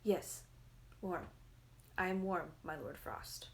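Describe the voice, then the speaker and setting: A woman's voice: on the low side, slow pace, creaky. Woman as a meek, brave, freezing girl. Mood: faint, frozen. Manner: polite, meek, enduring. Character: well-mannered, brave, uncomplaining freezing young girl. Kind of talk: storybook fairy-tale reading.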